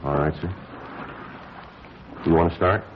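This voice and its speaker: An actor playing a calm, patient American police detective, questioning politely and tersely in a deadpan 1950s radio procedural style. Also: male voice, deep voice, measured pace. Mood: patient, businesslike. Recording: muffled, hissy 1950s radio recording.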